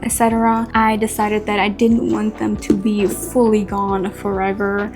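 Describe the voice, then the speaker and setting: A young woman from Switzerland, a native Swiss German speaker, chatting earnestly with soft laughs while carving a pumpkin in a Q&A vlog. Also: medium pitch. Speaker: content creator.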